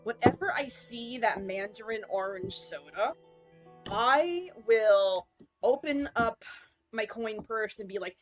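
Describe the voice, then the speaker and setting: A woman's voice: a little high, expressive, slow, soft background music, rough recording. A woman streamer in casual rambling chat, jokey and self-mocking.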